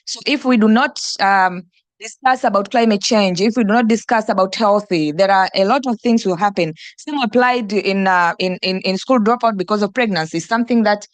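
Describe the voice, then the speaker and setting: A woman's voice: mid-range voice, moderate pace, dry recording. A young woman from Tanzania, an NGO director and teacher, answering on a panel with many fillers and restarts.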